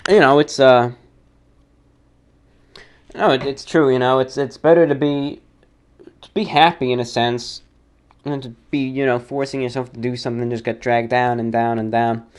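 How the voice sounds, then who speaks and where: Man hosting a halting, deliberate mail show. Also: medium pitch, steady tone, smooth. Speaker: host of a listener-mail show. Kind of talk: reading listener mail and commenting casually.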